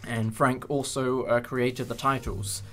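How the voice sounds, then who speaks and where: A man's voice: medium pitch, steady tone, measured pace, a bit bright, faint music behind. A young man hosting a casual behind-the-scenes chat about a production, speaking in a hesitant, appreciative way. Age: young adult. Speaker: host and audio drama producer.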